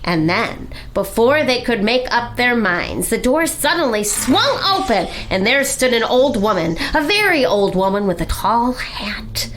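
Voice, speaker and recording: A woman narrating a children's storybook as a library storyteller, in a dramatic, deliberate and expressive way. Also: fairly high pitch, slight background noise.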